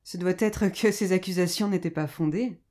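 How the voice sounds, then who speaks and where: A woman's voice: lowish voice, moderate pace, warm tone, close to an everyday voice. A woman playing an indignant, haughty businesswoman who answers cuttingly, in a French audio drama. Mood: cold, irritated. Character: defiant, indignant businesswoman under police questioning.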